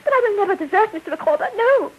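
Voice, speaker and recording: A woman's voice: very high voice, expressive, very fast, lo-fi sound. A woman playing a prim, melodramatic, devoted middle-aged wife in a theatrical 1950s radio drama. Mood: tearful, melodramatic. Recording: hissy old radio broadcast.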